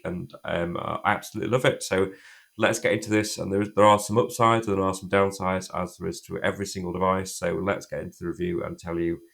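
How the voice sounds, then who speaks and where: A man with a cold, croaky and chatty, reviewing a phone in a rambling, casual way. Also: sits low. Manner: chatty, rambling, restarts sentences often. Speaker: tech reviewer.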